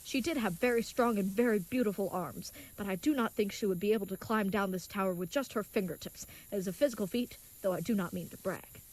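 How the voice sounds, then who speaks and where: A woman's voice: mid-range voice, fast, hardly any pauses, darkish tone, lightly acted, rough recording. A woman playing an earnest, naive, chivalrous young knight in an audio comedy, speaking with stilted, wordy politeness. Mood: earnest, musing. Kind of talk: scripted audio comedy acting.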